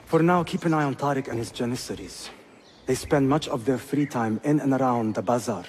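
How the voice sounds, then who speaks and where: Earnest young prince acted by a man, mid-pitched. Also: fairly quick, a little mellow, slight background noise, lightly acted. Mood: earnest, wary. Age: young adult. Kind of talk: game cutscene acting.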